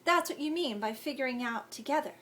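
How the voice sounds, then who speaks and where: A woman's voice: high-pitched, animated, a little fast, fairly bright tone, a little hiss or hum. A woman voicing a frightened, desperate teenage girl in a storybook reading, pleading and shaky.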